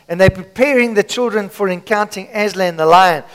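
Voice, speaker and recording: A man's voice: high-pitched, a little fast, a bit bright, clean tone. A male pastor preaching a rousing sermon in a large church, calling the congregation to answer back. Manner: rousing, call-and-response preaching. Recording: long church hall reverb.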